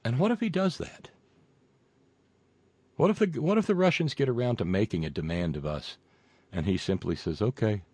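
A man hosting a show, reading viewer comments and delivering sarcastic political commentary that swings from loud rants to muttered asides, with frequent fillers and profanity. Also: fairly low pitch, animated, moderate pace, long pauses, slightly rough, dry recording. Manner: mocking, builds into loud rants then drops to muttering; profane, many fillers and restarts.

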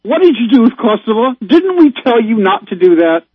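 Exasperated caller on a tinny phone line. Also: male voice, extremely high pitch, very animated, a little fast, slightly rough, no echo. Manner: exasperated, emphatic, given to sighs. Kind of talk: casual phone chat.

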